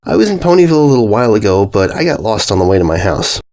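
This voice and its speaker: A man's voice: on the low side, sing-song, racing, darkish tone. A man voicing a dim-witted, innocent adult female pony in a storybook, in an airy, naive and upbeat manner. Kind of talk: storybook narration character voice.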